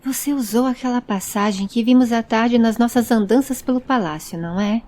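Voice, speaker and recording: Woman as a calm, resolute female traveller. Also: on the high side, a little fast, lightly acted. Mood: probing. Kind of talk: audio story character voice.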